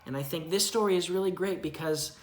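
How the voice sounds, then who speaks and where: A woman's voice: on the low side, brisk, reverberant. A woman reading a children's Bible story aloud expressively, chatting with a young child and asking simple questions.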